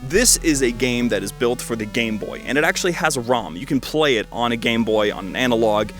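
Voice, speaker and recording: A man's voice: medium pitch, lively intonation, brisk, over music. A man giving casual, rambling video commentary as he reviews games, with dry joking asides and frequent self-interruptions. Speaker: video creator reviewing fan-made games.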